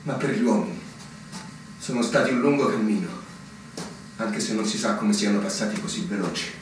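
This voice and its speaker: An actor playing a weary, pensive fortress captain in a measured theatrical manner. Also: male voice, on the low side, natural voice. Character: weary fortress officer captain, ageing, waiting and doubting. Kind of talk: Italian stage drama acting. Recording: echoey stage recording, distant mic, clearly noisy.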